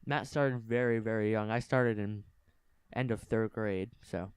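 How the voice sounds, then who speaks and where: A man's voice: fairly low pitch, fairly even intonation, unhurried, clear, resonant tone, no room sound. A young man co-hosting a casual podcast, explaining things plainly into a game controller microphone. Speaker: podcast co-host. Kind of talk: casual improvised podcast chat. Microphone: game controller mic.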